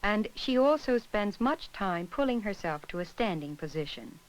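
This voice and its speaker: A woman reading scripted narration for an educational film in a measured, instructional way. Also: mid-range voice, very animated, rough recording.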